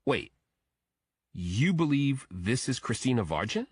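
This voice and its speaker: A man's voice: medium pitch, very animated, unhurried, slightly rough, no room sound, studio quality, voice pushed up. A man voicing a probing detective with a direct, questioning manner, in an audiobook. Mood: alert, questioning. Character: probing male detective, contract consultant to the FBI. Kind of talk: audiobook character dialogue.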